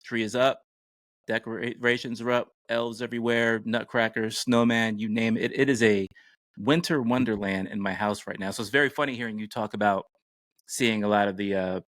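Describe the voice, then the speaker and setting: A man's voice: lowish voice, steady tone, normal speed, fairly bright tone, dry recording. A middle-aged American man chatting casually as a podcast host. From United States.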